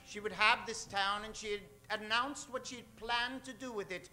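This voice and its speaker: A man's voice: high-pitched, steady tone, normal speed, pushed and bright. A man narrating and announcing in a theatrical old-time radio style, melodramatic and playful.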